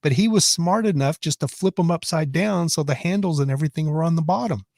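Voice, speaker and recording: Host: raspy man, rambling casual live-stream chat, close and dry. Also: a little high, fairly quick, a little mellow, no echo. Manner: rambling and chatty, many restarts. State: raspy from choking on food. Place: his office.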